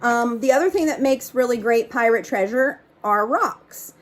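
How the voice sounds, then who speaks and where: A woman's voice: on the high side, normal speed, a little hiss or hum. A middle-aged woman reading a picture book aloud and chatting casually to young listeners. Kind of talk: read-aloud storytelling with casual asides.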